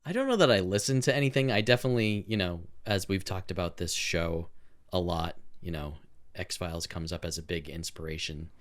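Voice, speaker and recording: A man's voice: deep voice, a little fast. A man co-hosting a podcast, talking casually and informally with plenty of enthusiasm. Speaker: podcast co-host and amateur theme music maker. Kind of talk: casual chat.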